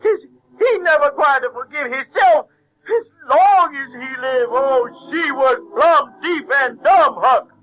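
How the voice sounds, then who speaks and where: A male actor playing a remorseful runaway slave and father, telling his story haltingly in a folksy American Southern dialect. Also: very high voice, fairly bright tone, no room sound, highs cut off, exaggerated voice. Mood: weeping, anguished. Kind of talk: dramatic monologue in audio drama. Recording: 1970s audio drama with music.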